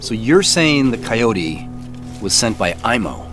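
A man's voice: on the low side, big pitch swings, takes it slowly, fairly bright tone, faint music behind, heavily acted. An actor playing an earnest, puzzled man in an audio drama, hesitant and thinking aloud in natural dialogue. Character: earnest, puzzled man searching woods at night for treasure.

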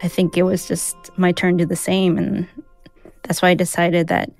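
A young adult woman, raised speaking Mandarin first, telling a personal story in a candid, reflective way with soft laughs. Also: fairly low pitch, little pitch movement, normal speed, gravelly, soft background music. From United States. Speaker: interviewee, newsletter writer.

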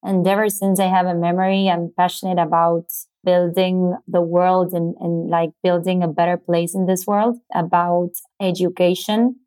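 Female startup founder guest, Argentine accent, native Spanish speaker, lowish flat pitch, explanatory, slightly halting. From Buenos Aires, Argentina. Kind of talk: explanatory interview answers.

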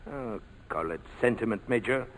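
An actor playing a suave, sly employer in a 1940s radio drama, husky and courteous in an oily way. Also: male voice, on the low side, expressive, moderate pace, a little hiss or hum, lightly acted. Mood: smooth, sly. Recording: vintage 1940s radio broadcast.

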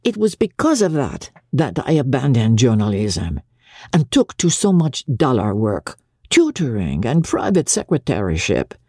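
Woman playing an earnest young Frenchman, husky and expressive. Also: rumbling low, measured pace, no room sound, clean studio sound, heavily acted. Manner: earnest, philosophising, polite. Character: eager, impecunious young Frenchman, an intellectual private tutor.

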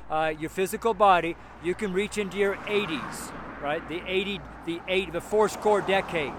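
A seventy-year-old man with a fairly high voice preaches in a loose, folksy way, wandering from thought to thought. He speaks outdoors, with faint traffic hum behind him. He chuckles to himself now and then. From United States. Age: 70s. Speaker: street preacher. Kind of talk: casual outdoor sermon. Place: outdoors by a road with passing cars.

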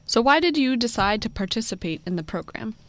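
A woman's voice: fairly high pitch, big pitch swings, brisk, dry recording. A woman co-hosting a podcast, asking questions in a plain conversational way. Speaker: podcast co-host. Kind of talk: casual podcast chat. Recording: dull lo-fi audio.

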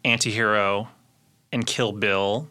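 A man's voice: lowish voice, fairly even intonation, takes it slowly, pushed and bright, clean tone. A man co-hosting a podcast in a casual, explanatory way, often hedging as he talks. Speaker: podcast co-host.

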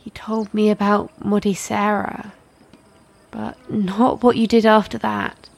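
A woman playing a gentle, attentive companion in a naturalistic audio drama, speaking quietly and asking questions. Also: mid-range voice, flat delivery, gravelly. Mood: curious, warm. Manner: quiet, unhurried, inquisitive. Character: gentle, attentive companion coaxing a friend's story.

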